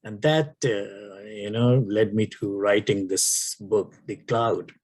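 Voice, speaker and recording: An older man from Pakistan, whose first language is Urdu, answering interview questions slowly with many pauses and fillers. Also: fairly low pitch, a little mellow. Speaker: author guest.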